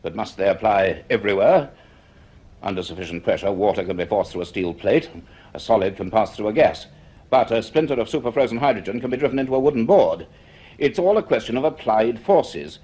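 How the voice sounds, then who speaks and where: An actor playing a grave university professor in a radio drama, speaking in a deliberate, earnest, emphatic way with thoughtful pauses. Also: male voice, on the low side, animated, mellow, breathy edge. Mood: earnest reasoning. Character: grave male university professor recounting a mystery. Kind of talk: radio drama acting, part notes, part dialogue.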